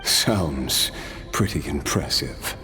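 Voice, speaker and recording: A man's voice: very deep voice, lively intonation, slow pace, full character voice. A man voicing a world-weary immortal warrior in a video game, with a wry, brooding delivery. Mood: wry, amused. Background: music.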